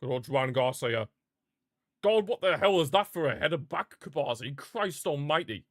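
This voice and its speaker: A man's voice: fairly high pitch, expressive, normal speed, dry recording, studio quality. A man reacting live to a football match as a streamer, ranting and calling out to his chat. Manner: passionate, frustrated fan outbursts and rants; talks to live chat viewers.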